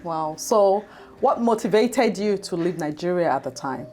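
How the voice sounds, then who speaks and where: A woman hosting an interview show, introducing her guest in a measured, formal way and asking questions in a studio. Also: fairly low pitch, lively intonation, darkish tone, soft background music. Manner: measured, formal introduction with slow emphatic phrases. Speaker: podcast host.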